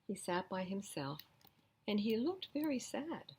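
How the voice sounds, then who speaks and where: Animated woman reading to children, slightly husky. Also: medium pitch, a little slow. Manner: explains pictures and adds gentle asides to young listeners. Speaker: children's storytime reader at a library. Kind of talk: animated picture-book read-aloud with conversational asides.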